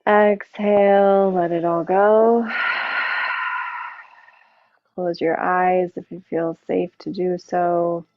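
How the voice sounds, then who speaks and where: A woman yoga teacher gently guiding a relaxation practice, unhurried and leaving long pauses between phrases. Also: on the low side, little pitch movement, slight background noise. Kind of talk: guided yoga and relaxation instruction. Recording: muffled narrow phone-like sound.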